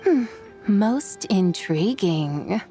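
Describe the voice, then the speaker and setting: A woman's voice: medium pitch, animated, extremely slow, mellow, soft background music. A woman voicing an aloof ancient horse spirit in a video game, speaking in a formal, theatrical and teasing manner. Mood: musing, languid. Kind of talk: scripted video game character acting.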